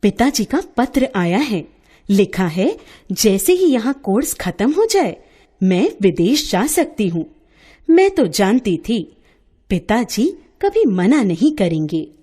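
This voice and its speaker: A woman's voice: mid-range voice, sing-song, slow, warm tone, breathy edge, exaggerated voice. A woman voicing a cheerful, teasing young woman painter, proud and boastful about her art, in a storybook tale. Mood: happy.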